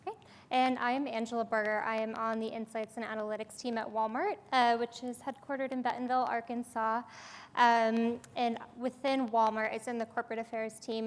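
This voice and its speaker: A woman on a conference panel introducing her work in a measured, explanatory way with some "um" fillers. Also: a little high, big-room sound.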